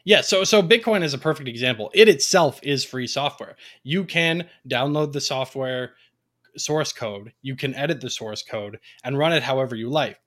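A man's voice: mid-range voice, moderate pace, fairly bright tone. A man giving conversational explanations as a guest on a talk show. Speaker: interview guest, technology commentator.

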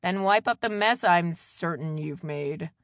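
A woman's voice: fairly low pitch, unhurried, a bit bright, no room sound, studio quality. A woman voicing a tired, no-nonsense mother in an audiobook, firm, wry and matter-of-fact. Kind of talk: audiobook character dialogue.

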